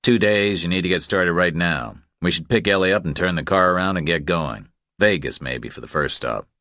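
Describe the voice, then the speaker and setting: Man reading a blunt, very deep-voiced tough guy. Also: normal speed, bright, forceful tone, no room sound, studio quality. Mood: urging, practical. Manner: terse, matter-of-fact. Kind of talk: audiobook character dialogue.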